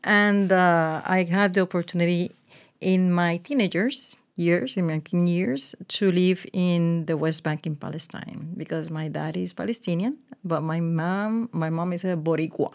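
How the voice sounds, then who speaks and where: A woman's voice: fairly low pitch, fairly even intonation, a little slow. A Puerto Rican woman in her forties, a guest in an interview, talking casually with many fillers and restarts. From Puerto Rico. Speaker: guest, communications manager.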